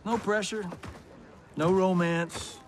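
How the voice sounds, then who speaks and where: An actor playing a cocky, flirtatious action hero in a video game, glib and informal. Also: male voice, high voice, steady tone, takes it slowly. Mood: awkward, flirty. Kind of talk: cinematic video game acting. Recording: gunfire and battle noise behind.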